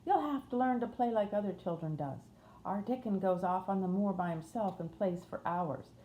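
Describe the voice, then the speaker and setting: A woman's voice: medium pitch, moderate pace, mellow, voice pushed up, close to an everyday voice. A woman voicing a blunt, kindly young housemaid with a Yorkshire accent in a storybook reading. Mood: encouraging. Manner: plain-spoken, dialect thee and thou. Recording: roomy echo, faint noise.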